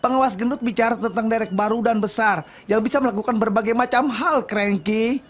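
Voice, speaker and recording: Woman as high-voiced, chatty cartoon train engine. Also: fairly quick, natural voice. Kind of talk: children's cartoon dub.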